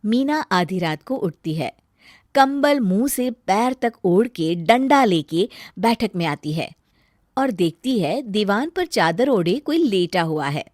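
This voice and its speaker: A woman narrating an animated family story in a lively, expressive way. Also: medium pitch, unhurried, dry recording, studio quality.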